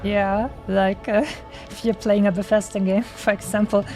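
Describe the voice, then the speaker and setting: A woman's voice: mid-range voice, level tone, normal speed, mellow, faint music behind. A young woman from Germany with a German accent, chatting casually and playfully while streaming a game, often laughing and using fillers. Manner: giggly, playful, lots of fillers. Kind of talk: casual gameplay chat.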